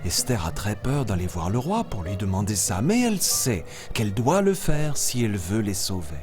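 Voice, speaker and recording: A man playing a kindly jungle animal who tells children stories in an expressive, teacherly cartoon manner. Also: on the low side, normal speed. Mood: storytelling. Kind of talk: children's story narration with acted dialogue. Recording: loud music behind.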